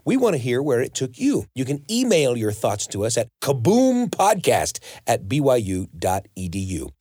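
A male podcast host with a very animated, mid-pitched delivery. He presents conversationally in a dry recording. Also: no echo.

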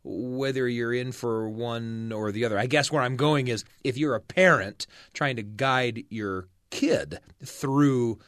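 A man's voice: mid-range voice, measured pace, hardly any pauses, fairly bright tone, dry recording, studio quality. A man hosting a talk and story show, speaking in reflective, conversational phrases as he chats and introduces stories. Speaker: radio show host.

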